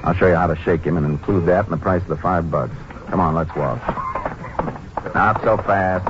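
A man playing a tough, calm, laconic Texas Ranger in a 1950s western radio drama. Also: low voice, noisy background, lightly acted. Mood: cool, steadying. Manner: laconic, unhurried, folksy. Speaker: lead actor and narrator. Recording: muffled old-time radio recording with music.

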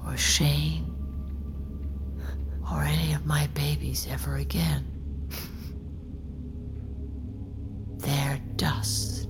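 A woman acting a bereaved, bitter mother in an audio drama, speaking deliberately and often in a hush, with accusation underneath. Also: low-pitched, vocal fry, full character voice. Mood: bitter grief. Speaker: actor in a fiction podcast. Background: faint music.

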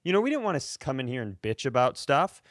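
A man's voice: mid-range voice, sing-song, fast, no echo, studio quality. An American man hosting a comedy podcast, ranting casually in an indignant, emphatic and profane way. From rural Upper Midwest United States.

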